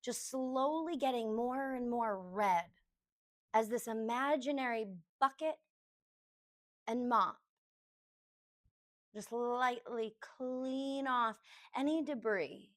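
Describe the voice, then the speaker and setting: A woman yoga teacher leading a guided meditation, giving gentle, unhurried instructions in short phrases with pauses between them. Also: a little high.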